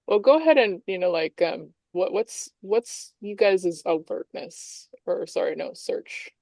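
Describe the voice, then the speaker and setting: A woman's voice: mid-range voice, lively intonation, unhurried, gravelly, darkish tone, dry recording. A woman game master improvising tabletop game narration in a conversational, explanatory way.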